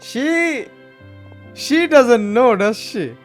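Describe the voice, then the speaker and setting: A woman's voice: fairly high pitch, expressive, very slow, faint music behind. A woman giving casual, blunt game reaction commentary, often repeating her phrases. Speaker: gaming commentator.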